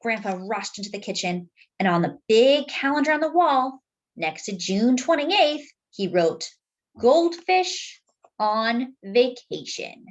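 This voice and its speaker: Slow, high-pitched, lively storytime woman. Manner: expressive, addresses young listeners directly, dramatic pauses. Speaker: children's storytime reader and host. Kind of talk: picture-book read-aloud for young children.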